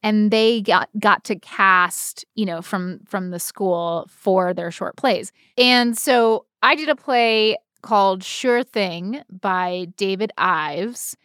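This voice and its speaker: An American woman co-hosting a podcast, telling personal stories in a casual, animated, slangy and self-deprecating way. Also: medium pitch, slow, few pauses, smooth, no room sound, studio quality. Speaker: podcast co-host. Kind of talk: casual chat, personal storytelling.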